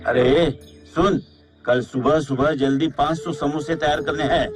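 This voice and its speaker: A man's voice: fairly high pitch, steady tone, unhurried, over music, natural voice. Man playing a jealous, scheming shopkeeper villain. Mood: bossy. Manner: sly, imperious, muttering to himself. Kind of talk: Hindi cartoon story acting.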